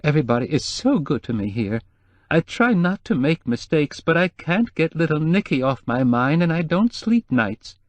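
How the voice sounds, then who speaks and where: A man's voice: on the high side, very animated, moderate pace, no room sound, pitched above the performer's own voice. An anxious, whimpering housekeeper, as a male narrator puts her on, her voice lifted well above his own and hesitant. She frets and trails off in an audiobook reading, recorded close and dry. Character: nervous timid middle-aged female housekeeper.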